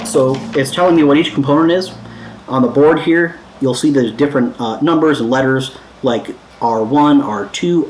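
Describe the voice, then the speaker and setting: A man talking casually and informally as he hosts a hands-on DIY electronics tutorial, easygoing and a little self-deprecating. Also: mid-range voice. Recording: echoey room, some background noise.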